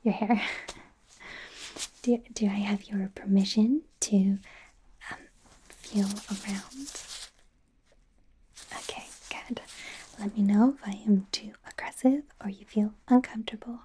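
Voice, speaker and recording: A woman plays a gentle, anxious female werewolf doctor in an ASMR roleplay. She whispers slowly and softly, with a mellow, breathy tone. She is hesitant, often correcting herself and reassuring her patient, over a faint background hiss. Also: medium pitch, steady tone, close to an everyday voice, low-quality recording.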